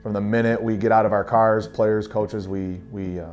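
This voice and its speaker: A man in his thirties, an assistant football coach, talking casually and haltingly in an interview. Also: on the low side, little pitch movement, darkish tone, faint music behind. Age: 30s. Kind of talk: documentary interview.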